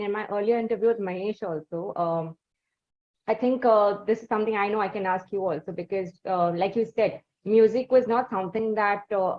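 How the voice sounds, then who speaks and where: A woman's voice: mid-range voice, highs cut off. A woman from South India hosting an interview, asking long questions full of fillers and restarts. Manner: hesitant, many fillers. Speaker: interviewer host.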